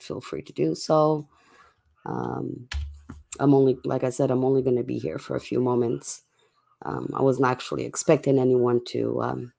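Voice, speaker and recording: A livestream host chatting casually and in a laid-back way to viewers late at night, with a voice going hoarse from a cough. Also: medium pitch, darkish tone. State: sick with a cough, voice getting hoarse.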